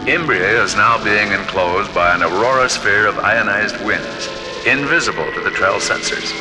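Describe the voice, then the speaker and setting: An actor playing a solemn, authoritative leader of an alien city in a radio drama, speaking in measured, formal phrases. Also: male voice, on the low side, natural voice, rough recording. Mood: grave, commanding. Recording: music behind, vintage radio drama.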